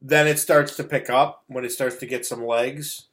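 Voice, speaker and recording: A man's voice: medium pitch, steady tone, normal speed, fairly bright tone. A middle-aged man hosting a casual movie review, ranting in a blunt, scornful, exasperated way. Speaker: host of a movie review show. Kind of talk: casual opinionated movie rant.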